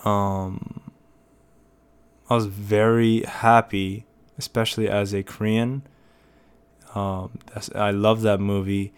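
A young American man talks through his thoughts on his own podcast, often hesitating and starting over. His voice sits a little low and sounds smooth and resonant. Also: level tone, slow, pauses often. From United States. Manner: rambling, hesitant, many fillers and restarts. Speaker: podcast host. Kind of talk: casual solo commentary.

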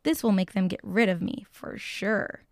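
A woman's voice: medium pitch, steady tone, normal speed, darkish tone, dry recording, clean studio sound, voice pushed down, lightly acted. A woman voicing a stubborn, mischievous young male animal with a flippant, dismissive manner in a children's storybook. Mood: scheming.

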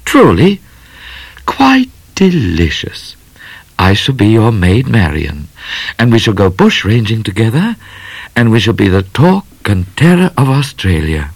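Man putting on a high, gushing genteel lady. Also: wildly swooping pitch, unhurried, creaky, breathy edge, dry recording, heavily acted. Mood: delighted. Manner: theatrical, coy, effusive. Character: romantic, gushing, self-assured genteel young lady.

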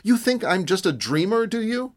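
A man's voice: high-pitched, sing-song, normal speed, voice pushed up. A man voicing a stubborn, defiant young woman in a storybook, challenging and intense. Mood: angry, challenging.

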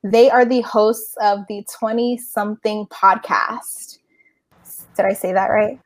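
A woman hosts a relaxed podcast chat, upbeat and quick to laugh. Her voice sits at a medium pitch, and she keeps the talk friendly and easy. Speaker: podcast host. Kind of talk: casual podcast interview.

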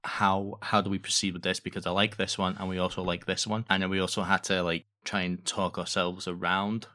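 A man guest in a casual chat, explaining in a halting way and often repeating words as he goes. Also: low voice, little pitch movement, bright, forceful tone. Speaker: interview guest, audio fiction creator.

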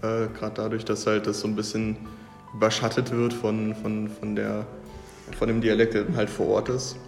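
A man's voice: on the low side, completely flat delivery, normal speed, gravelly. Man, hesitant casual talker, reverberant room, faint music. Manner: hesitant, repeats words and fillers. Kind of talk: casual discussion.

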